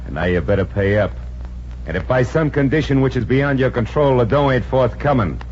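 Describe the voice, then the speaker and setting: An actor playing a menacing, wisecracking gangster who talks in mock-polite slang in a 1950s radio comedy. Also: male voice, medium pitch, a little fast, darkish tone, natural voice. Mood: menacing, amused. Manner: slangy, mock-polite tough-guy talk. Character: menacing wisecracking male gangster debt collector. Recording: muffled, noisy old radio recording.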